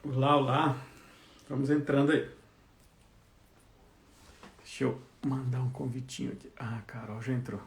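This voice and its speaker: A man's voice: medium pitch, fairly even intonation, normal speed, long pauses. A man from Belo Horizonte, Brazil, hosting a casual live video chat from home, talking in a loose, chatty way. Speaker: live stream host. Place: at home by a window. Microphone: video call.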